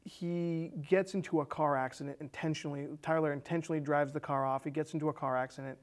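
A man in his thirties speaks in a casual, conversational way as a film show co-host. His voice sits a little higher than most men's. He retells stories as if talking to a friend across the table. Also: steady tone, fast talker. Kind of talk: casual movie discussion, retelling a plot. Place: small indoor studio set with curtains.

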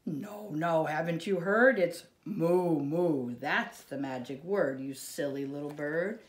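A woman's voice: low voice, takes it slowly, echoey room. A woman voicing bossy storybook farm animals, chiding emphatically and making exaggerated animal calls. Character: bossy farm animals scolding a silly little bird.

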